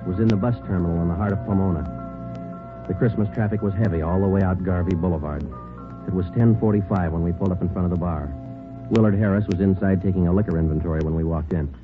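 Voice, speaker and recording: A man's voice: sits low, fast talker, natural voice. An actor playing a terse, businesslike American police sergeant in a 1940s radio crime drama, narrating his case in a clipped, matter-of-fact way. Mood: deadpan. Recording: muffled vintage 1940s radio recording, music behind.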